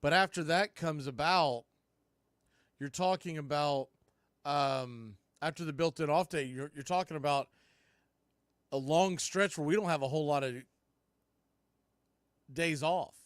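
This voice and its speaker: A man's voice: fairly high pitch, a little slow, long pauses, smooth, no echo, clean studio sound. A man hosting a baseball radio show, giving play-by-play and fan commentary on the game. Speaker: baseball play-by-play announcer and call-in show host.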